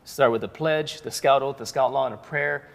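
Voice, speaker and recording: A middle-aged man from Hawaii with an American accent, explaining earnestly and clearly as an interview guest, in an orderly, articulate way. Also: mid-range voice, normal speed. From Hawaii, United States. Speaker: guest, youth scouting organization professional. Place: reverberant plaza show set. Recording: long reverberant hall sound.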